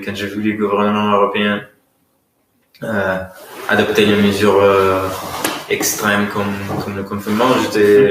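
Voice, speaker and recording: A man's voice: fairly low pitch, fairly bright tone. Hesitant Russian-accented man, echoey hall. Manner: hesitant, searching for words, many fillers and restarts. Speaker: guest economist. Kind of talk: conference talk. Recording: very echoey room.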